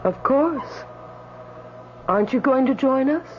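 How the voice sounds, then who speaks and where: An actress playing a sweet, coolly teasing spirit from the afterlife in a radio drama, matter-of-fact and coaxing. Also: female voice, high voice, expressive, slow pace. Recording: dull radio broadcast, faint mains hum.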